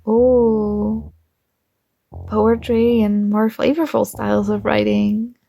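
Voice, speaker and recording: A young woman playing a shy, warm college girl with a secret crush, speaking softly and hesitantly in an intimate ASMR roleplay. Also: mid-range voice, level tone, slow, creaky, slightly rough, heavily acted. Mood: gentle, curious.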